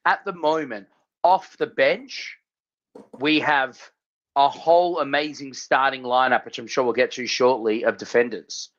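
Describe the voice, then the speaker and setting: A man's voice: mid-range voice, a little slow, fairly bright tone. A man with an Australian accent co-hosting a casual sports podcast, talking emphatically and often repeating his phrases. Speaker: podcast co-host. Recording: slightly dull lo-fi audio.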